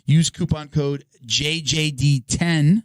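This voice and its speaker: A hoarse man hosting a podcast, reading sponsor ads with stumbles and filler words. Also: a little high, steady tone, slow pace. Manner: stumbling, filler-heavy ad reads. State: hoarse, losing his voice. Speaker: podcast host.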